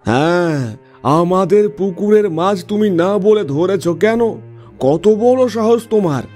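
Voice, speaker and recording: Greedy village landlord for a cartoon, high male voice, domineering and accusing, light music behind. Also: a little slow, darkish tone. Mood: angry, bullying. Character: greedy, arrogant, bullying village landlord man. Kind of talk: cartoon voice acting.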